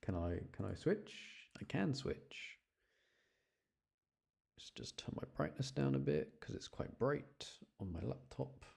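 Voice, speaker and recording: A man's voice: mid-range voice, animated, a little slow, vocal fry, darkish tone, husky. A man chatting casually on a game livestream, rambling along and addressing his viewers. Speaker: streamer. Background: game music.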